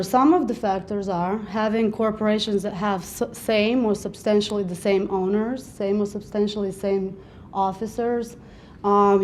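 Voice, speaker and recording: Woman attorney, mid-pitched, steady explanatory seminar delivery, pauses often. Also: slight background noise. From Romania. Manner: explanatory, measured, with frequent "um" pauses. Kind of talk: seminar presentation. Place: seminar room.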